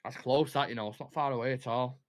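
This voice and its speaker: A man's voice: mid-range voice, fairly even intonation, quick pace. A young man with a Mancunian accent hosting a live football watch-along, chatting and commentating casually in a blunt, sweary, opinionated way.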